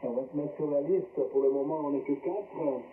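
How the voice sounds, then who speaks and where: A man's voice: on the high side, moderate pace. A man hosting an amateur radio net, chatting casually and passing the turn between operators over a shortwave link. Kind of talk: casual radio contact chat. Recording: roomy narrowband shortwave radio transmission.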